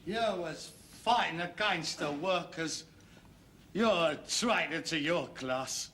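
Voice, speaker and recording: Sarky london son in a sitcom, high sharp voice, scheming and theatrical, bit of noise behind. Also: male voice, takes it slowly. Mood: sarcastic. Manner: sarcastic, theatrical, bantering. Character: scheming, sarcastic working-class son, eager to get away. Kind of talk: British sitcom acting.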